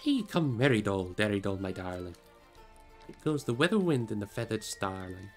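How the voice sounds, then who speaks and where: A man's voice: on the low side, sing-song, moderate pace. A man voicing a jolly, carefree old forest dweller from a fantasy audiobook, with a bouncy, sing-song, rhyming delivery. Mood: merry singing. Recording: music behind the voice.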